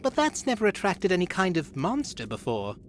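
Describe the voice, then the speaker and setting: A man's voice: high voice, wildly swooping pitch, brisk, slight background noise. A man playing a snarky, skeptical rodent creature, chatty and sarcastic, in an audio drama. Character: snarky skeptical rodent-folk city dweller.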